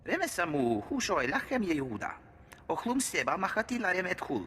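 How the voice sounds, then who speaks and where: A man's voice: high voice, very animated, fairly quick, sharp tone, breathy edge, exaggerated voice. An actor voicing a dramatic male alien who speaks an invented tongue in a video game. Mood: grave, dramatic. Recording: long reverberant hall, faint ambient noise.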